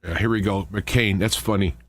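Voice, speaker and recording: Low-voiced man rambling as radio host. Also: steady tone. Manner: halting, rambling, conversational asides. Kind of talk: informal political commentary, monologue.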